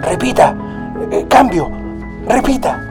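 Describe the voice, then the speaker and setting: High-voiced insistent prisoner lookout. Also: male voice, big pitch swings, takes it slowly, exaggerated voice. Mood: anxious, urgent. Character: cautious, insistent male prisoner lookout in escape plot. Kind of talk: radio drama acting. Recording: music behind the voice.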